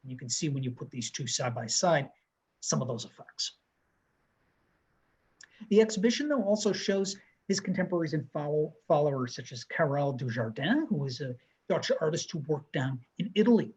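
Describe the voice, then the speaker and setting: Man, thirties, husky, fairly high voice, calm art history talk, video call. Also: poor audio. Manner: measured, descriptive, pointing out details. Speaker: museum co-host presenting artworks. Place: room with bookshelves.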